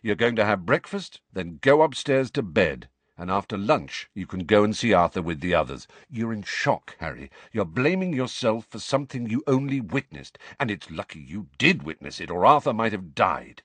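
A man voicing a grown godfather who booms cheerfully and speaks firmly and steadily. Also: moderate pace, few pauses, no room sound. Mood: firm reassuring. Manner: loud and hearty, then firm and steady.

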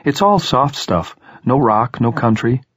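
A man's voice: mid-range voice, lively intonation, a little slow, slightly rough, no echo, voice pushed up. A man voicing a young schoolboy in an audiobook, earnest, respectful and eager, with a deferential manner.

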